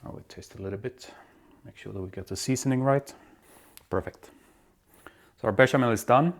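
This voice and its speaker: A man from Belgium hosting his own cooking show, walking through a recipe in a relaxed, step-by-step way in a home kitchen. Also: medium pitch, brisk, slight background noise. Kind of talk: casual cooking demonstration.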